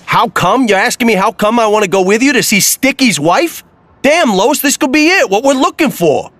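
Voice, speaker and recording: An actor playing a frustrated, pushy boyfriend who needles with insistent questions in an audio drama. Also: male voice, very high voice, lively intonation, moderate pace, a bit bright, a little hiss or hum. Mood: agitated, accusing.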